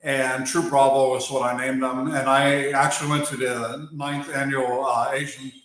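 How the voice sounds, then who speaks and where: A man from Germany with an American accent, hosting a live stream on his wildlife channel and talking unhurriedly with many pauses while he reads viewer chat. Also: medium pitch, level tone, a bit bright. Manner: unhurried, pausing with fillers, answering chat between thoughts. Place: outdoors or open area while working on a bike. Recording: very echoey space.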